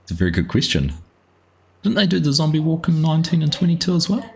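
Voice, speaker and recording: A man's voice: on the high side, sing-song, quick pace, vocal fry. A man from New Zealand with a New Zealand accent, chatting casually with his live-stream viewers while playing a farming game, rambling and greeting them by name. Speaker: gaming livestreamer.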